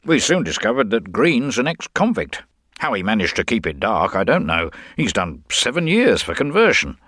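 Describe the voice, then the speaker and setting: A man's voice: lowish voice, very animated, moderate pace, a bit bright, dry recording, clean studio sound, voice pushed up. A man playing a brusque, sceptical police inspector in a detective radio drama, blunt and emphatic. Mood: startled, matter-of-fact.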